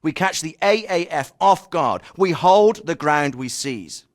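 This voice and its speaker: An actor playing an authoritative captain with a clipped, commanding delivery in a military video game. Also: male voice, a little high, expressive, a little slow, no room sound, studio quality. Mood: firm, briefing. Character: authoritative male Royal Navy special forces captain. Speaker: military officer, squad commander. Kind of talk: scripted military game dialogue.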